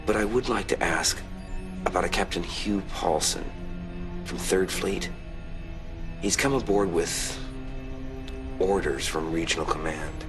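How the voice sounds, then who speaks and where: A man's voice: sits low, a little slow, pauses often, music in the background. An actor in his forties playing a seasoned, guarded pilot colonel in a science fiction game, American and understated. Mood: grave, measured. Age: 40s.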